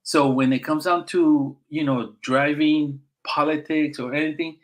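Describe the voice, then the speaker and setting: A man's voice: fairly high pitch, fairly even intonation, measured pace. A middle-aged man with a Puerto Rican accent, a Marine veteran guest speaking earnestly in a conversational interview, with frequent fillers and restarts. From Puerto Rico.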